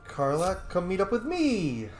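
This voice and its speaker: A man giving casual, excitable game commentary with plenty of swearing. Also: sits high, measured pace. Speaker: gaming video creator. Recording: roomy echo, game music behind. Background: game music.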